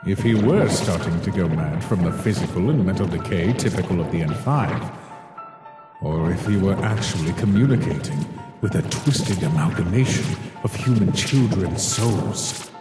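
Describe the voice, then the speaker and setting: Deep, disdainful mad scientist in reverb. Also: male voice, lively intonation. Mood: clinical, uneasy. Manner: condescending, deliberate, formal. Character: cold, disdainful male mad scientist monster, obsessed with his work. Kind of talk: dramatic comic dub acting. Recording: echoey hall reverb, music behind.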